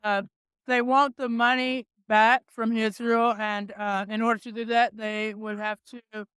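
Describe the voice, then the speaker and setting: Bright, crisp, dry-sounding protester; emphatic, halting answers. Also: mid-range voice, little pitch movement, no echo. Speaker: rally attendee. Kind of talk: street interview. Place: outdoor street rally.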